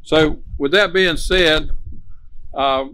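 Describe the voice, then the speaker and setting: An older man giving a halting ceremony speech at a podium, as a county official. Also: fairly high pitch, pushed and bright. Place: outdoor podium by a brick building. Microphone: podium microphone. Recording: noisy outdoor podium recording.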